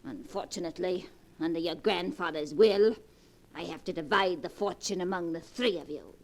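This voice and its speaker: A woman's voice: on the low side, moderate pace, gravelly, low-quality recording. An actress playing a wealthy, scornful old grandmother in a 1940s radio drama, dry and cutting.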